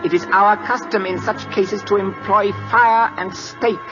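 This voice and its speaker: High-voiced imperious king. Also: steady tone, measured pace. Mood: menacing. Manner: clipped, commanding broken English. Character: proud, imperious, hot-tempered Siamese king. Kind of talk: 1940s radio acting. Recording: dull old radio, music behind.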